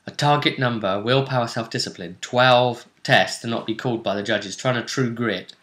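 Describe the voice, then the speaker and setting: A man's voice: mid-range voice, moderate pace, a bit bright. A man casually recapping a tabletop roleplay session as game master. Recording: roomy, echoing room sound.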